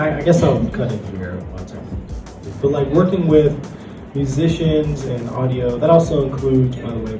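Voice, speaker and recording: A man speaking casually as a panelist, giving advice in an informal, slightly halting way. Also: mid-range voice. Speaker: convention panelist. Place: convention panel room. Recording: echoey room, distant mic, loud music.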